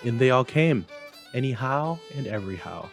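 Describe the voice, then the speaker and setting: A man reading a classic story aloud as narrator, in an expressive, measured, dramatic style. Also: mid-range voice, creaky, soft background music. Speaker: narrator, library staff reader.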